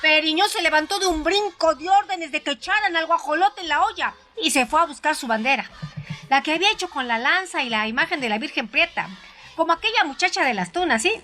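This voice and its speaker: A woman narrates a story in a high, bright voice. Her delivery is dramatized yet conversational, with hesitant pauses as though telling it herself. Soft music plays behind her. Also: expressive. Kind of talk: dramatized first-person audiobook narration.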